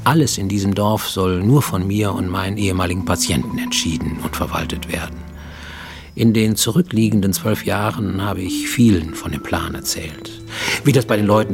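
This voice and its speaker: Low, gravelly, intimate fugitive visionary. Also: male voice. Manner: intimate, deliberate, addressing a listener, dropping to whispers. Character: fugitive Japanese man in Mexico, makeshift healer and tooth-puller, visionary dreamer. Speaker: actor in a radio play. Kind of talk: German radio drama monologue.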